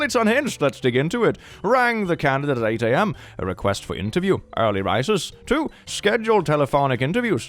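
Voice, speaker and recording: A man from Sweden doing comedic reaction commentary, reading posts aloud with mock drama and sarcastic, incredulous asides. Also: medium pitch. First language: multilingual, not native English. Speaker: YouTube commentary host.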